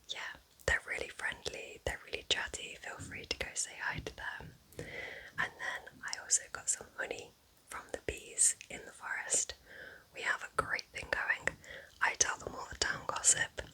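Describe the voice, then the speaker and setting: Casting: woman playing a kind, affectionate cottage witch for ASMR roleplay, soothing and chatty, in a breathy, very bright, sibilant whisper. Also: low-quality recording. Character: kind, cosy forest witch welcoming a visiting relative.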